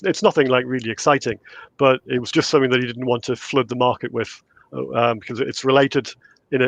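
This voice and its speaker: A man's voice: mid-range voice, creaky, highs cut off. A man in his fifties with a Geordie accent, a guest author chatting in an interview and hesitating with lots of fillers. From England. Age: 50s. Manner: hesitant, many fillers and restarts. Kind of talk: casual interview chat.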